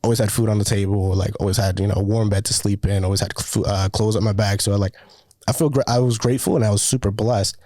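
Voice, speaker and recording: A man's voice: fairly low pitch, steady tone, quick pace, dark tone, no echo, clean studio sound. A young man from the Dominican Republic, a student filmmaker, talking casually and rambling with many fillers; his first language is Spanish. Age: young adult. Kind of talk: casual conversation.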